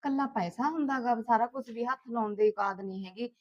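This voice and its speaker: A woman's voice: a little high, quick pace, no echo, lo-fi sound, lightly acted. A woman acting a lonely, lovestruck mistress of a household in a short film, with a Punjabi accent. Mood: coaxing, longing.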